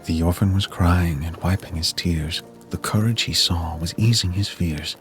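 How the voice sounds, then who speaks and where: A man narrating a rhymed Christmas story in verse with a measured, solemn delivery. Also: deep voice. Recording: music bed under the voice. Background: music.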